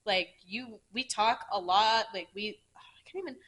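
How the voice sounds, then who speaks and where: A woman's voice: mid-range voice, normal speed, fairly bright tone. A woman co-hosting a podcast, chatting casually and frankly, swearing freely and explaining things at length with asides. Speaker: podcast co-host. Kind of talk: casual chat.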